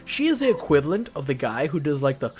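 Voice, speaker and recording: A man's voice: on the high side, very animated, quick pace. A man streaming a visual novel, reading it aloud expressively with casual asides. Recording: music behind.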